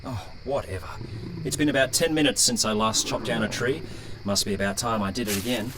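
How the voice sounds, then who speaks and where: A man's voice: mid-range voice, a little fast, fairly bright tone, a little hiss or hum, lightly acted, low-quality recording. An actor playing a grumpy but kind tin woodman in an audio drama, dry and sardonic.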